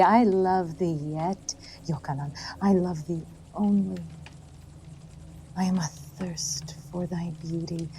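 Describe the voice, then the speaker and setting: A woman's voice: fairly low pitch, a little mellow, slight background noise. A woman playing an obsessive, lovestruck young princess, cruel and bereft, in a theatrical classical audio drama. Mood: desperate longing.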